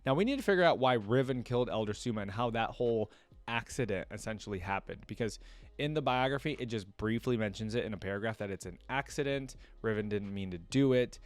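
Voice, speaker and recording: Low-voiced man, casual reaction commentary. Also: animated, quick pace. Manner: chatty, thinking aloud. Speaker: reaction video creator. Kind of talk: casual commentary, reading wiki text aloud.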